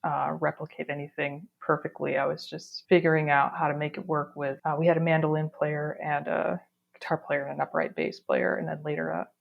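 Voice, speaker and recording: Low gravelly woman with a Rochester accent. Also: flat delivery, a little fast, lo-fi sound. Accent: Rochester with a Texan lilt. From Rochester area. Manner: thoughtful, restarts phrases, laughs easily. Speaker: musician guest. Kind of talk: casual interview conversation.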